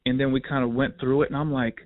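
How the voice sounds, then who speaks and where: A man's voice: mid-range voice, quick pace, dry recording. A man hosting a casual podcast interview, telling stories with quoted asides. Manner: animated, storytelling with quoted asides. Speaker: podcast host. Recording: tinny phone-like line, dry.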